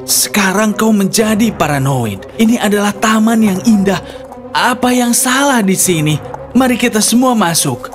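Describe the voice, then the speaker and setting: A woman's voice: medium pitch, expressive, normal speed, natural voice. A woman voicing a noble, trusting young prince with a courteous, easygoing manner. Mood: carefree. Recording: music behind the voice.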